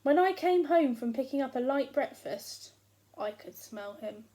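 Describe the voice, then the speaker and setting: A storybook giant played by a woman with a higher-pitched voice and a very slow, deliberate delivery. He is huge, hungry and sorry for himself, grumbling sarcastically and stressing each grievance. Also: expressive, mellow. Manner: complaining, sarcastic, emphatic. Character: grumbling, self-pitying, hungry giant husband, huge and aggrieved. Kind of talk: first-person storybook narration read aloud.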